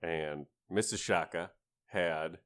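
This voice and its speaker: A man with a deep, low voice chats as a podcast co-host. He spins casual stories about gaming and slips into playful, grandiose asides, swearing freely. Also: takes it slowly, a bit bright.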